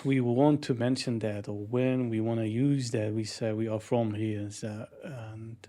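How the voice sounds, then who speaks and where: A man in a casual podcast conversation who speaks haltingly, often restarting his sentences. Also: fairly low pitch, fairly even intonation, dark tone.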